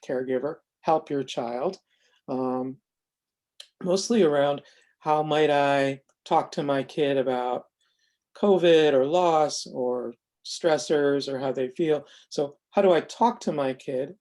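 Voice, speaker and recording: A man presenting a software demonstration in an informal, unscripted way, explaining carefully and haltingly with self-corrections. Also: on the high side. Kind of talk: informal narrated screen demo, unscripted explanation.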